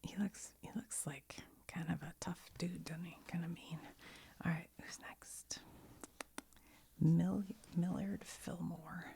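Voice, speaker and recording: Slow whispering woman, dark gravelly tone. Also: fairly low pitch, steady tone, a little hiss or hum. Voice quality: soft whisper, often unvoiced. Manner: chatty, giggly asides, reads facts aloud. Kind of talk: whispered ASMR card sorting.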